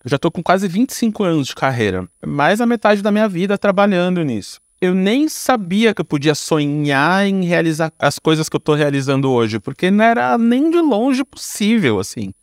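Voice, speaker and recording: A Brazilian man in his forties with a fairly high voice, recorded close and dry. He talks as a podcast guest in an informal, slangy way, thinking aloud and explaining through examples. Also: sing-song, moderate pace, few pauses, no room sound. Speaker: podcast guest, storyteller and creator. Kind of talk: casual, reflective interview talk.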